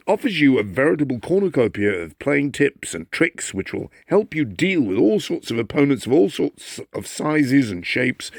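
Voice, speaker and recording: A man from Bangladesh reading a humorous memoir aloud in an expressive, theatrical storyteller's manner. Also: mid-range voice, dry recording, studio quality. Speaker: actor reading a book aloud.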